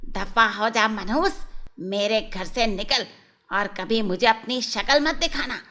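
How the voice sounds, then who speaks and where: A harsh, angry aunt from a children's cartoon story, acted out by a woman. She scolds in a sharp, forceful voice, drawing her words out slowly at a fairly high pitch. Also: slight background noise. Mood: angry, scolding. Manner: scolding, snapping, drawn-out words.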